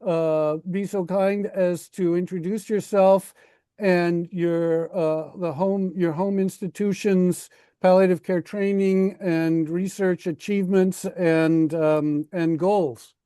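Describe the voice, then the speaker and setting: A man's voice: high voice, steady tone, slow, mellow, smooth, no room sound, clean studio sound. A man moderating an online webinar in a courteous, formal, somewhat hesitant way. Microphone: online video call.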